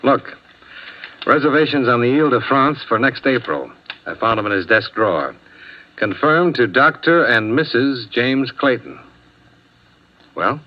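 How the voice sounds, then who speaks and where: An actor playing a blunt, wry insurance investigator who presses people tersely, in 1950s radio drama style. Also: male voice, mid-range voice, lively intonation, a little slow, pauses often, close to an everyday voice. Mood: probing, insistent. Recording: dull old radio recording.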